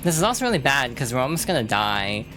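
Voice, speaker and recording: A woman's voice: very deep voice, sing-song, quick pace, fairly bright tone. A woman streamer rambling through casual let's-play commentary, with lots of fillers and restarts. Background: game music.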